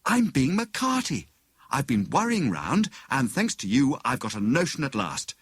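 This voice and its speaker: A man voicing a clever, excitable married woman who plays amateur detective, with a brisk, knowing manner. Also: medium pitch, husky, no echo, voice pushed up, full character voice. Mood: excited, intent.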